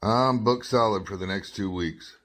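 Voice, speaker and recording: An actor playing a sardonic, grumbling, world-weary night reporter in a 1950s-style radio drama. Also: male voice, lowish voice, moderate pace, fairly bright tone, lightly acted. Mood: irritated. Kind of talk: 1950s radio detective drama narration and dialogue.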